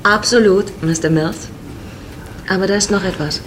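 A woman's voice: medium pitch, moderate pace, noisy background, close to an everyday voice. An actress playing a teasing, wistful middle-aged woman, murmuring intimately in a radio play. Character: teasing, wistful mature woman, flirting with younger man.

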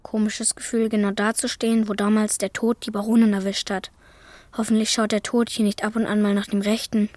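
A woman's voice: mid-range voice, level tone, a little fast, a little mellow, big-room sound, close to an everyday voice. A woman playing a grumbling, plucky, self-conscious young boy who hunts ghosts in a children's radio play. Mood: grudging, uneasy.

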